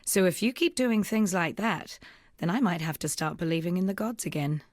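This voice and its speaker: An actress voicing a weary, earnest young rebel woman, a wounded freed slave, in measured, solemn video game dialogue. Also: lowish voice, animated, no echo, clean studio sound. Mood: amazed, grateful.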